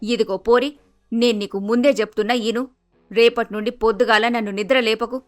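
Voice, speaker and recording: A woman's voice: a little high, little pitch movement, a little fast, faint music behind, lightly acted. A woman playing a bossy, grumpy middle-aged mother-in-law with a Telangana Telugu accent, blunt and scolding, in a story cartoon. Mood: exasperated, scolding.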